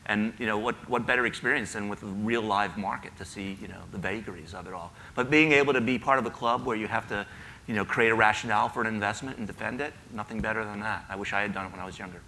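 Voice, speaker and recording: A man's voice: on the low side, quick pace, pushed and bright, a little hiss or hum, rough recording. A man on a conference panel, explaining his views with enthusiasm; he has a son in college. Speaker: panelist, retirement editor. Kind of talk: casual panel answer. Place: conference hall.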